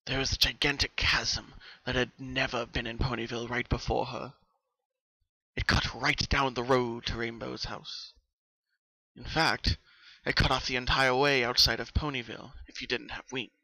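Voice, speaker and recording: A man reads a story aloud in a dramatic, suspenseful way. His voice is strikingly bright and sharp at a middle pitch. The sound is close and dry, with very little room to it. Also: flat delivery, normal speed, creaky, no echo, rough recording. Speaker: narrator. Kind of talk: dramatic fan fiction reading.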